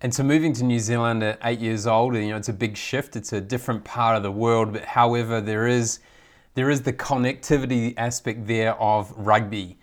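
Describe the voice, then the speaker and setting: A man's voice: mid-range voice, steady tone, normal speed. A male host interviews his guest with friendly, long, wandering questions. His voice sits in a mid range and his tone stays conversational. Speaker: podcast host.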